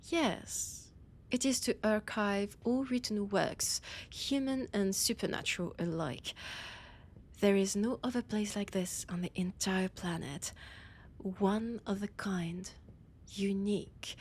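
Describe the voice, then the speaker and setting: A woman's voice: mid-range voice, takes it slowly, a little hiss or hum. A woman with a French accent voicing an annoyed, imperious vampire scholar in an intimate audio roleplay, haughty and commanding, often slipping into a whisper. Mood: proud, condescending.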